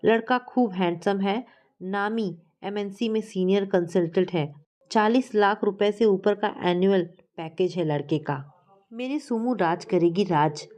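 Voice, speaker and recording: A woman's voice: mid-range voice, unhurried, darkish tone, lightly acted. A woman voicing a stubborn, domineering middle-aged mother in a family story, scolding and imperious. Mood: bossy, insistent.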